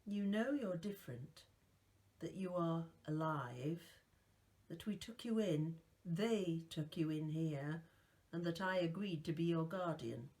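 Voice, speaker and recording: A woman reading aloud voicing a calm, ageless male guardian who is not alive, with a soft, velvety voice and a grave, measured way of talking. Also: low voice.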